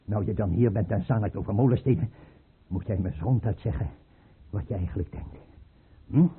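An actor playing a paranoid, scornful tsar in a radio play, imperious and abrupt, with a husky, rough voice. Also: male voice, fairly low pitch, brisk, a little hiss or hum, lightly acted. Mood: mocking, suspicious. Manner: imperious, abrupt, mocking, swinging from mutter to outburst. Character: paranoid tyrant tsar, erratic, scornful, suspicious father. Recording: muffled, tinny old radio play recording.

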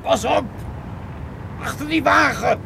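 Urgent, high-pitched gravelly policeman, shouting. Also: slow pace, full character voice. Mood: urgent alarm. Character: alarmed police officer Bucel shouting warnings in a gunfight. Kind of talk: radio play acting. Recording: noisy old radio drama recording.

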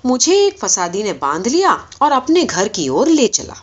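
A woman reading a story aloud as expressive scripted narration, in the manner of an audiobook reader. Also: on the high side, slight background noise. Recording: dull, lo-fi recording.

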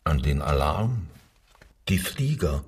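A nervous suspect in a radio crime drama, with a deep, very husky voice. He stammers and dodges in short, deferential answers, sitting a little away from the microphone. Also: sing-song, slow, recorded from a distance, exaggerated voice. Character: nervous, evasive working man under interrogation, anxiously deferential.

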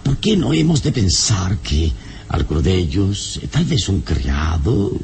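An actor playing a calm, commanding heroic adventurer in a radio serial, with measured, authoritative delivery. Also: male voice, sits low, gravelly, slight background noise, full character voice. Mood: measured, cautioning. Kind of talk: radionovela acting.

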